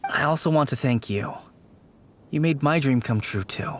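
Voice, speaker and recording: A man's voice: medium pitch, normal speed, slight background noise, lightly acted. A man playing an earnest, wistful young working man in a video game, sincere and hesitant. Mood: grateful, unnerved. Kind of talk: video game character dialogue.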